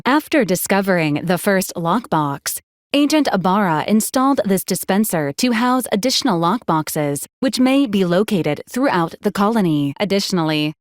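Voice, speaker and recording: A woman voicing a polite, officious robot assistant in a video game, speaking in a formal, clipped, deadpan way. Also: mid-range voice, no room sound, clean studio sound, natural voice. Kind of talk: scripted video game character dialogue.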